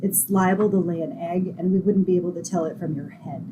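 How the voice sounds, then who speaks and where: A woman's voice: on the low side, steady tone, brisk, noisy background, lightly acted. A woman playing a sensible, long-suffering young roommate in an old-time radio comedy, with a dramatic, wry manner. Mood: exasperated.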